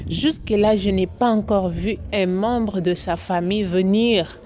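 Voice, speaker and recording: A woman's voice: medium pitch, a little slow, dark tone, slight background noise. A woman from Congo, hosting a commentary vlog in a preachy, moralizing way that repeats its points. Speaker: YouTube channel host.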